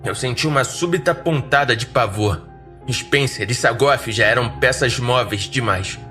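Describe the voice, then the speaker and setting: A man with a Brazilian accent narrating a first-person horror story in a dramatic, wry and expressive way. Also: medium pitch, normal speed, soft background music.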